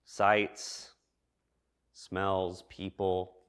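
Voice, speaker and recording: Male preacher, lower register, reflective sermon delivery, measured and thoughtful. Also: completely flat delivery, a bit bright, smooth. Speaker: preacher giving a church message. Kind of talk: reflective sermon addressed to a congregation.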